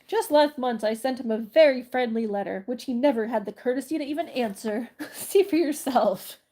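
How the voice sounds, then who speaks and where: A woman's voice: on the high side, normal speed, close to an everyday voice. A woman with a congested cold voicing a temperamental male math magician in a storybook reading, raised and emphatic. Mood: indignant. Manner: swings from gentle to furious.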